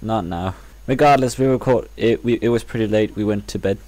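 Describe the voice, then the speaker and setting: A man's voice: on the low side, brisk. A man co-hosting a gaming channel, chatting casually while playing and often losing his train of thought. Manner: rambling, soft-spoken, loses track.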